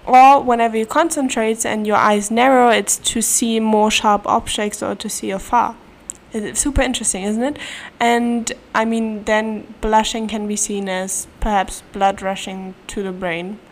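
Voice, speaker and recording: A woman's voice: fairly high pitch, fairly even intonation, measured pace, slight background noise. A young woman with a German accent hosting a casual solo podcast, chatty and playful, giggling through her asides. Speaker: podcast host. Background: faint room ambience, cat nearby.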